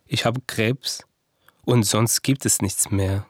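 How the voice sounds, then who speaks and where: A man's voice: fairly low pitch, steady tone, slow, no echo, studio quality. A man delivering a reflective first-person monologue. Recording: clearly noisy recording.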